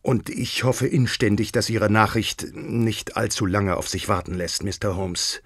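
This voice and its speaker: An imperious king in a radio play. The voice is low and husky, formal and grave, recorded very dry. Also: a little slow, fairly bright tone, no room sound, exaggerated voice. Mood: urgent, grave. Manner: stately, formal. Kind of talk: German audio drama acting.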